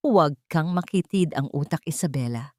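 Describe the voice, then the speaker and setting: A woman narrator plays a cold, arrogant husband in an audio story. The voice is low and dry, with a Filipino accent and a dismissive, commanding manner. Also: animated, normal speed, darkish tone, no room sound. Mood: threatening. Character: arrogant, cheating husband, cold and domineering man.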